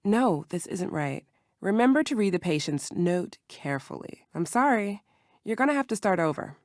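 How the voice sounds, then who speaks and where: A woman playing a careful herbal shopkeeper in a video game, instructive and gentle. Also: medium pitch, big pitch swings, moderate pace, dry recording, clean studio sound, lightly acted. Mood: firm, disapproving. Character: calm female herbal shopkeeper, patient, careful mentor.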